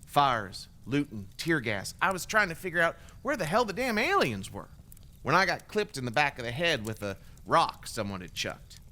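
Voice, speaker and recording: A man's voice: a little high, very animated, moderate pace, bright, forceful tone. An actor playing a remorseful, bitter former extremist gunman giving testimony in an audio drama, in a blunt, wry, profane conversational way. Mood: grim, sardonic.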